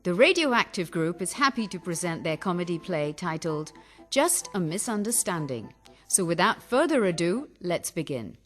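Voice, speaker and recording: A woman's voice: lowish voice, very animated, normal speed. A woman announcer giving scripted narration to introduce a radio play. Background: music.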